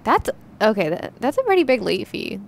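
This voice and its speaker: A woman's voice: a little high, wildly swooping pitch, brisk, slight background noise. A woman giving informal, giggly commentary as co-host of a reaction video. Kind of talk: casual live reaction.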